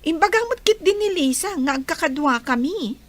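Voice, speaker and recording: A woman's voice: extremely high pitch, animated, normal speed. An actress with a Filipino accent playing a chatty, nosy woman in a radio drama. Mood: curious, gossipy.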